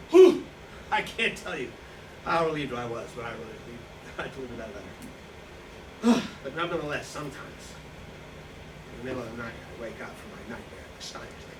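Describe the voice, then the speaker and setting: A man playing a self-pitying, self-justifying wartime profiteer in a staged reading, halting and indignant, with nervous chuckles. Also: mid-range voice, distant mic, close to an everyday voice. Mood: relieved, uneasy. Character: self-pitying, self-justifying Nazi-era profiteer who seized a bookstore. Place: live staged reading in a room. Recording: echoey, noisy hall recorded from afar.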